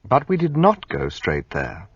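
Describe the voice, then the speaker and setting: An actor narrating as the detective's companion in a radio play, measured storytelling. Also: male voice, low-pitched, wildly swooping pitch, slightly rough, no room sound, heavily acted. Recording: dull lo-fi sound.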